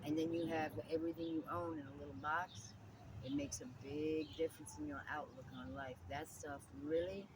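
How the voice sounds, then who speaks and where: A woman's voice: sits low, normal speed. A middle-aged woman with a New Jersey accent, talking candidly and animatedly in an interview. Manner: chatty and animated, calls the listener girl. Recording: distant mic with loud outdoor noise.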